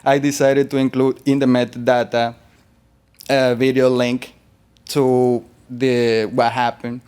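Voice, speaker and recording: A man from Colombia, a guest artist in an interview, talking in a relaxed, rambling way with fillers. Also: medium pitch, slow, smooth. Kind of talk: interview conversation.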